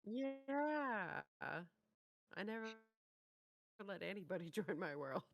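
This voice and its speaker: A woman's voice: lowish voice, normal speed, creaky. A woman co-hosting a reaction video, chatting casually and teasingly about game trailers.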